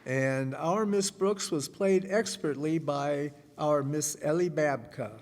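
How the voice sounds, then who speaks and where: Guy announcer doing formal credits, higher voice, measured, echoey hall w lots of crowd noise. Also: darkish tone. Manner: measured, presentational delivery. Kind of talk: formal cast credits read on stage. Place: live stage in a hall. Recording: reverberant hall, audience noise and hiss.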